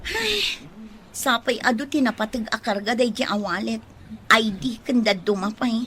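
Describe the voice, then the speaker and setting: A woman playing a bubbly, scheming young woman in an Ilocano radio drama, giggly and animated. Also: a little high, normal speed, a little hiss or hum. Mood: flustered.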